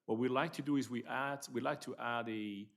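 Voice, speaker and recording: A man from Belgium with a Belgian accent, a business owner presenting his plans at a town planning meeting, halting and repeating words. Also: mid-range voice, steady tone, gravelly, fairly bright tone. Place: council meeting room.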